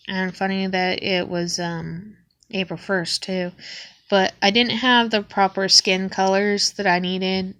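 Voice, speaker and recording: A woman's voice: mid-range voice, fairly bright tone. A young woman with a sniffly cold, showing her sketchbook in a hesitant, casual way with frequent ums. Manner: hesitant, with many ums and restarts.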